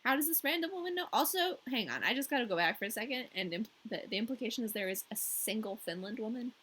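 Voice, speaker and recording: A woman's voice: a little high, lively intonation, fast talker, fairly bright tone, breathy edge. A woman hosting a storytelling show, reading a fairy tale expressively with wry, casual asides.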